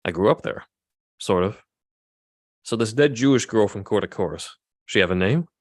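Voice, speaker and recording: A man's voice: fairly low pitch, animated, normal speed, a little mellow, no echo, clean studio sound. A man playing a guarded, awkward young pie maker in a script table read, understated, hesitant and evasive.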